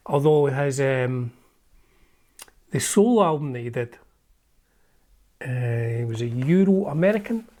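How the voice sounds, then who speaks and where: A man's voice: medium pitch, lively intonation, unhurried, pauses often, mellow. Chatty Scottish man rambling over old magazines. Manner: rambling and chatty, with frequent ums and restarts. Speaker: host. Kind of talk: casual chat, leafing through an old magazine.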